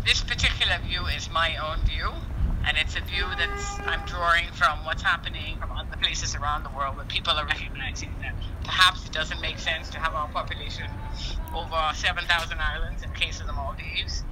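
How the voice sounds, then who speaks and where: A woman from the Bahamas, a bank representative giving measured answers in an interview, hedging with "I mean.". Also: low-pitched, fairly even intonation, noisy background. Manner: measured, explanatory, hesitant with many "um" and "I mean". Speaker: development bank representative, guest.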